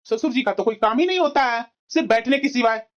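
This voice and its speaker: A woman's voice: on the high side, fast talker, close to an everyday voice. A woman voicing a pompous, entitled, complaining young son-in-law in a cartoon family drama. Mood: grumbling. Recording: dull, lo-fi sound.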